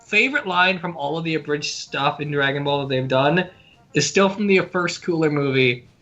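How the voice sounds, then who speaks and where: A man's voice: on the high side, normal speed, pushed and bright. A man chatting casually and gigglingly as a guest reviewer on a podcast. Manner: giggly, laughs through sentences. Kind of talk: casual chat. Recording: dull lo-fi audio.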